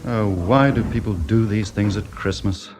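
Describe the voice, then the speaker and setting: A man's voice: lowish voice, moderate pace, a little mellow, natural voice. An actor playing a weary, regretful male hospital doctor in a radio crime drama. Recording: clear background noise and ambience.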